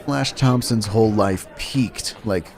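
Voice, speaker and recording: A man's voice: on the low side, slow, crowd noise. A man voicing a cocky, laid-back rich teenage boy who talks in breezy slang. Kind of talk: fan dub voice acting.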